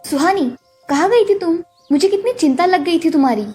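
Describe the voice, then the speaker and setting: A woman's voice: extremely high pitch, animated, a little fast, a little mellow, soft background music. A woman voicing a caring mother and her college-age daughter in a dramatised cartoon story. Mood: worried.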